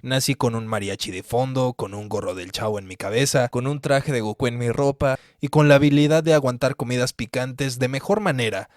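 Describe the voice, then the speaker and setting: A man's voice: mid-range voice, fairly quick, no room sound, clean studio sound. A man in his twenties with a Mexican accent, narrating a scripted video essay as its host. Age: 20s.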